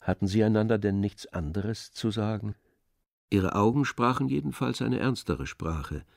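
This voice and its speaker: A man narrating a literary audio drama in measured, polished storytelling phrases. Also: lowish voice, vocal fry, a little mellow, no room sound, studio quality.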